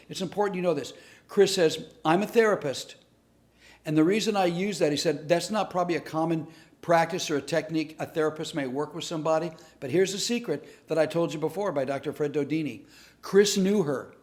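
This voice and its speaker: A man with a fairly high voice tells true stories to teach a lesson. His delivery is earnest and animated. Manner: earnest, animated storyteller who acts out quotes. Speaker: comedic speaker and teacher. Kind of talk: storytelling lesson to camera.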